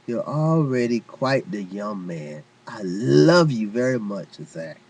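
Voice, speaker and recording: A man voicing a loving, sincere young father in an inspirational story, husky and emphatic in his phrasing. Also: mid-range voice, lively intonation, slow, a little mellow, heavily acted. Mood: tender.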